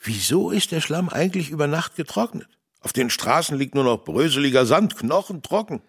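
A man's voice: a little high, animated, brisk, fairly bright tone, breathy edge, no echo, clean studio sound, heavily acted. A man playing a polite, bashful older innkeeper in a children's audio drama, speaking in a hesitant, wondering way. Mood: curious, wondering.

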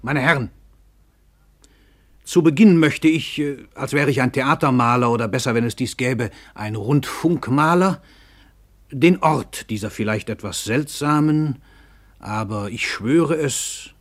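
An actor playing a brash, dissolute, world-famous novelist who addresses radio play listeners in a theatrical, ironic way with hesitant asides. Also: male voice, mid-range voice, a little slow, fairly bright tone. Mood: ironic, confiding. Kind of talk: radio play monologue, narrating to the audience.

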